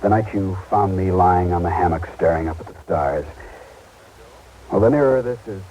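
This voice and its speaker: An actor playing an earnest, driven Air Force astronaut and loving husband in a vintage radio drama, measured and intimate. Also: male voice, deep voice, warm tone, muffled recording, a little hiss or hum, lightly acted. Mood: wistful, fascinated. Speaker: lead actor and narrator. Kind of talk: 1950s-60s radio drama acting.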